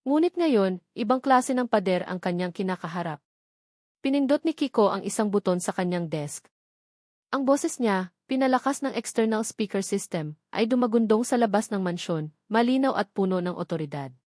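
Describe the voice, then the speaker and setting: A woman narrates a scripted drama as a storyteller. Her voice sits at a medium pitch, and the recording is very dry with no room sound. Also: animated, moderate pace, darkish tone, no echo.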